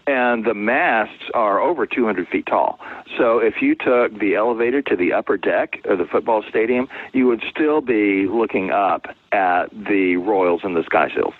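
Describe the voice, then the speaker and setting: A man with a fairly low voice speaks as an interviewed novelist over a narrow, phone-like connection. He explains things conversationally, often by way of anecdote, and the sound is dry, with no room around it. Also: moderate pace, few pauses, slightly rough, dry recording.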